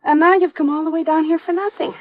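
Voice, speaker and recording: An actress playing a gushing, apologetic, devoted wife in an old radio drama. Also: female voice, very high voice, fairly even intonation, quick pace. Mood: apologetic, affectionate. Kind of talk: 1950s-60s radio drama acting. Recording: dull, dry old radio recording.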